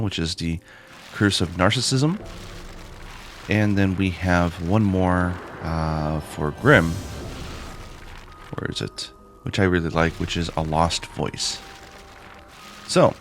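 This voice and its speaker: A man with a very low, raspy morning voice talks casually over his gameplay, filling pauses with ums and uhs. Soft game music plays faintly under him. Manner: chatty, with frequent ums and uhs. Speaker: let's play host.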